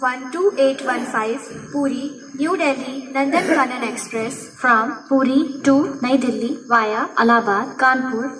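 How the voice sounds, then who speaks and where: A woman with an Indian accent making formal scripted railway station announcements in measured, stitched-together phrases. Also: sits high, steady tone. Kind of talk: scripted public announcement in Hindi and English. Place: railway station, announcement heard over PA speakers. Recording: echoey station loudspeaker, distant mic, music.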